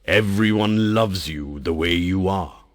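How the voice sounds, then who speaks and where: A man voicing a stern, angry old male mountain who talks in a scolding, booming way. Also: slow.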